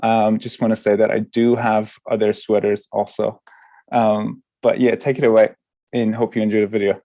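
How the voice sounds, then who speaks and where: A man from Iran, a sound artist and lecturer, giving an informal artist talk with hesitant pauses mid-phrase. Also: lowish voice, little pitch movement, warm tone.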